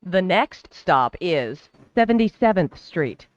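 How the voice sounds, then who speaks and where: Slow, formal subway announcer, slightly dull sound. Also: mid-range voice, very animated, dry recording. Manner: formal, measured, clearly enunciated. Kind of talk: scripted transit announcement. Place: recorded train public-address announcement.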